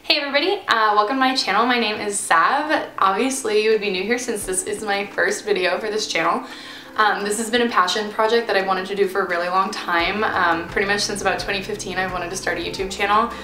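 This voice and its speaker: A woman's voice: medium pitch, fairly even intonation, fast. A young woman in her twenties chatting casually to camera as a vlogger, bubbly and rambling with fillers, sitting in her bed at home. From Pennsylvania, USA. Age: 20s. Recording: roomy echo with music behind. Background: music.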